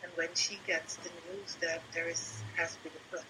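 A woman with a Dutch accent, a performance artist being interviewed, talking in a halting, reflective way and sometimes dropping into a whisper. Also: fairly low pitch, level tone, background noise. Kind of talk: casual interview chat.

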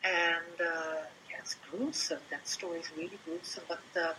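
A woman with a Dutch accent, a performance artist being interviewed, talking in a halting, reflective way and sometimes dropping into a whisper. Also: lowish voice, steady tone, bright, forceful tone, a little hiss or hum, rough recording. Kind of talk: casual interview chat.

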